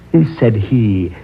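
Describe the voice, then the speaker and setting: Slow, sinister fortune-teller. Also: male voice, lowish voice, vocal fry, full character voice. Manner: deliberate, ominous, mocking chuckles. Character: sinister male fortune-teller, smugly menacing and unhurried. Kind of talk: 1950s horror radio drama acting. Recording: muffled, noisy vintage radio recording.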